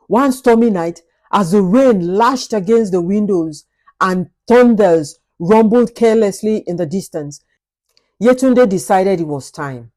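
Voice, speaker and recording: A woman narrating a scripted folktale in an expressive, suspenseful, dramatic storytelling style. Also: mid-range voice.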